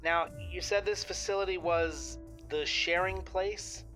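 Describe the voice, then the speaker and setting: A man acts as a businesslike federal agent in an audio drama. His voice is a bit high and forceful, polite and matter-of-fact, with light music underneath. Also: fairly even intonation, a little slow. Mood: calm, official. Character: calm, businesslike male federal wildlife agent. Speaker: special agent. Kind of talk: official phone conversation in audio drama.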